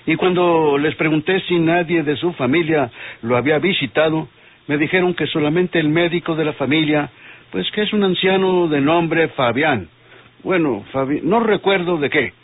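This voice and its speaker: An actor playing a deliberate, authoritative police inspector in an old radio soap. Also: male voice, fairly high pitch, slightly rough. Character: firm, measured police inspector. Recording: tinny band-limited old radio drama.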